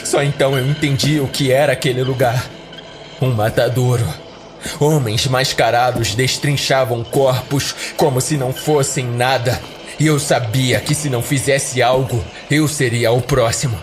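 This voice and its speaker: A man's voice: medium pitch, fairly even intonation, normal speed. A man with a Brazilian accent, a podcast host, giving a dramatic scripted first-person horror narration. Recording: background music under the voice. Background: music.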